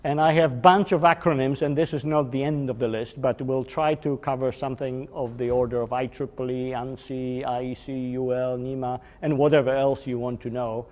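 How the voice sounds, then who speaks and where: A man's voice: mid-range voice, flat delivery, moderate pace, few pauses, a little mellow. A man in his fifties from Poland with a Polish accent, giving a technical workshop lecture, explaining step by step with some fillers and restarts. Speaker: engineering workshop presenter. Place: conference room. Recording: long hall reverb.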